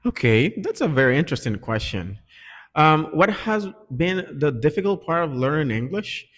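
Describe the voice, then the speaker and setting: A man's voice: fairly high pitch, very animated, normal speed, a bit bright. A man with a Dominican Spanish accent, a native Spanish speaker, giving earnest conversational interview answers as an English teacher. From Dominican Republic. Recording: echoey reverberant room.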